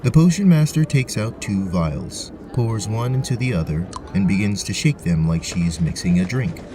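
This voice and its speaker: A man's voice: on the low side, expressive, moderate pace, background noise. A man narrating a scripted fantasy story as the game master of an audio drama.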